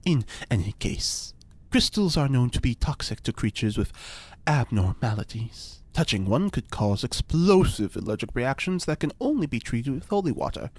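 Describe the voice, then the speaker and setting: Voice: mid-range voice, very animated, normal speed, a little mellow, slightly rough, no room sound. An actor playing an eccentric, pompous mad scientist and cult-style ritual leader in a podcast drama, speaking in a grandiose, pedantic way. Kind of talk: audio fiction podcast acting.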